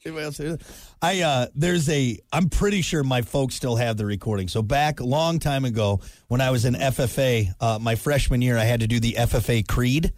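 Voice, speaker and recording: A young man with a gravelly, scratchy voice, co-hosting a morning radio show in casual, joking banter and laughing often. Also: medium pitch, fairly quick, no room sound, studio quality. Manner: jokey, animated, laughs often.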